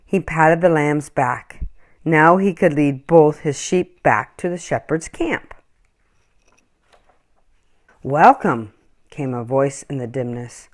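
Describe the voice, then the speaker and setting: A woman reading a children's story aloud in an expressive, acted-out storytelling style. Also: sits low, unhurried. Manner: animated, acts out dialogue and animal sounds. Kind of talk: expressive scripted storybook narration.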